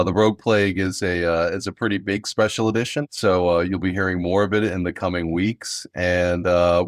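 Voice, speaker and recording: A man hosting a podcast, casually and haltingly introducing an audio drama episode. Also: low voice, no echo, clean studio sound. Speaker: podcast host, drama producer.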